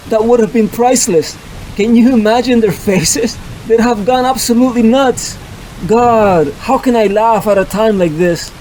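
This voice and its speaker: Performer doing a reformed cat burglar, blunt, candid, mid-pitched, comic dub, faint rain. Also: a little mellow, a little hiss or hum, lightly acted, poor audio. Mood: amused. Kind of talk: fan dub of a motion comic.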